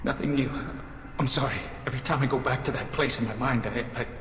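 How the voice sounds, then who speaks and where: A man voicing a haunted young male alien survivor in a video game, halting and timid. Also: mid-range voice, steady tone, fairly bright tone, lightly acted. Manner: halting, timid, self-deprecating. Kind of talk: video game dialogue acting. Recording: echoey reverberant hall.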